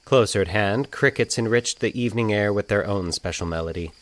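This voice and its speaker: A man's voice: fairly low pitch, brisk, slight background noise. A man reading scripted fiction as the narrator of a story.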